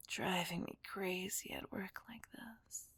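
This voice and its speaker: A woman's voice: fairly low pitch, fairly even intonation, a bit bright, husky, exaggerated voice. A woman playing a seductive, possessive female villain in a whispered ASMR roleplay, teasing and dominant, speaking breathily close to the microphone. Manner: teasing, dominant, drawn-out delivery. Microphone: close microphone, dry sound.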